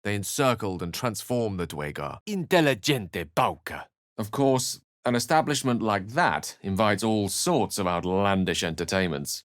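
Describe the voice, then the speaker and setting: A man's voice: lowish voice, animated, measured pace, no echo, clean studio sound. A man playing a refined, eloquent wizard in a video game, courteous and wry, with articulate, formal and theatrical speech. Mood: incanting spells. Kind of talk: video game voice lines and narration.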